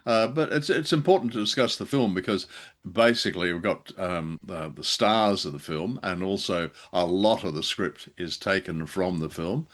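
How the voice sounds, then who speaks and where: A low-pitched man co-hosts a conversational review show. He picks his phrases politely and hesitantly, weighing things up in a measured way as he talks. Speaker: podcast co-host reviewing old radio drama. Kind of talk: conversational critical discussion.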